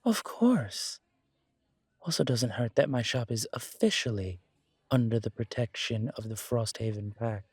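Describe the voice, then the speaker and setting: A man's voice: mid-range voice, expressive, measured pace, breathy edge, no echo. A man voicing a cheerful, fussy pointy-eared male shopkeeper in an audio roleplay, prim and polite with a fluttery stammer. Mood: playful, genteel.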